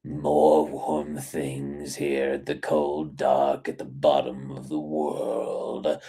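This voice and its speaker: A hoarse man putting on a gruff, strained low voice for a game character called the Scrap King. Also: monotone, extremely slow, dark tone, exaggerated voice. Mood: brooding. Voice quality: strained, throat-shredding low voice.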